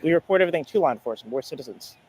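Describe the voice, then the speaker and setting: A man in an on-the-street confrontation, speaking insistently and repetitively, with some halting starts. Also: fairly high pitch, expressive, darkish tone, rough recording. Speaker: citizen investigator confronting a stranger. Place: outdoors in a public place. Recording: outdoor recording with faint ambient noise.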